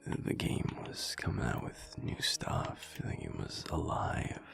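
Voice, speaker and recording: A man playing a sad, wistful young alpha werewolf in an intimate audio roleplay, talking haltingly in a low, rumbly half-whisper. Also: over music, natural voice. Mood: nostalgic, wistful. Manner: halting, hesitant, trailing off.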